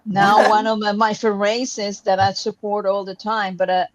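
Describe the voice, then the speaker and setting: A woman's voice: mid-range voice, level tone, normal speed, no room sound. A woman chatting casually in a discussion. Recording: dry, dull lo-fi audio.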